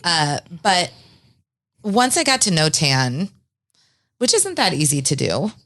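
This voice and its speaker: Low-voiced woman hosting a podcast. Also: big pitch swings, slow, a bit bright, no echo, studio quality. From Eastern Washington, US. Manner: earnest, heartfelt. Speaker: podcast host. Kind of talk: podcast intro and casual interview.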